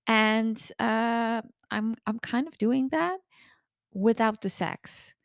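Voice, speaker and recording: A hoarse woman in her thirties who has lost her voice, a coach hosting her own podcast in a candid, chatty way. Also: fairly high pitch, slow, dry recording, studio quality. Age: 30s. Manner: candid, chatty, open-book. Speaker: podcast host and eating disorder recovery coach. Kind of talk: casual solo podcast monologue.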